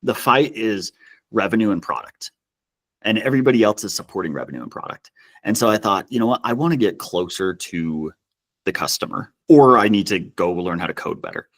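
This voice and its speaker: A man speaking casually as an interview guest, telling stories in an animated, self-deprecating way. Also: on the low side, brisk. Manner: self-deprecating, chuckles as he talks. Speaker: podcast guest, customer success executive.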